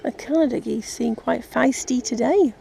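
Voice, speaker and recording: An English woman chatting casually and gently as she narrates a nature vlog outdoors. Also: a little high, moderate pace, gravelly. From England. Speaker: vlogger. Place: outdoors in an urban park. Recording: outdoor ambient noise, birdsong. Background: birds and park ambience.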